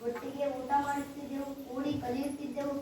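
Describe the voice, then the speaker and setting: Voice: high-pitched, little pitch movement, fairly quick, a little mellow, natural voice. Sudama, a child playing a humble poor friend. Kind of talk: school stage play. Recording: echoey hall, distant mic, faint hiss.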